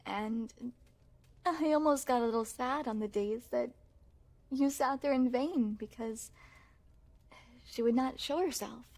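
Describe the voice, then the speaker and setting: A woman's voice: a little high, measured pace, pauses often, a little mellow. A woman playing a possessive yandere princess in an ASMR roleplay, speaking in coy, regal, formal phrases with sweet menace. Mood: coy, teasing. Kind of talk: ASMR roleplay, scripted monologue to the listener.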